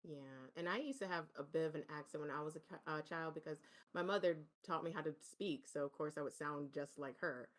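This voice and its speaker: A woman's voice: low-pitched, a little fast, hardly any pauses. A woman who is a guest on a podcast, telling her experiences earnestly in casual chat.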